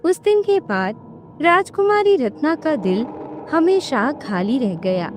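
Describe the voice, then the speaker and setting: Woman, storyteller, high voice, animated intonation, slow pace, scripted fairy-tale narration. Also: music in the background.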